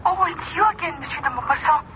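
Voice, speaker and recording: Brisk, impatient secretary, played by a woman, extremely high voice, vintage radio mystery with noisy dull sound. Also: close to an everyday voice. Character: brisk, impatient female doctor's receptionist. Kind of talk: radio drama acting.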